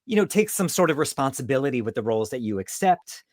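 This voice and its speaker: A man's voice: mid-range voice, sing-song, fast talker, dry recording, studio quality. A man hosting a podcast, chatting casually and asking long, rambling questions in an affirming way. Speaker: podcast host. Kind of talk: casual interview chat.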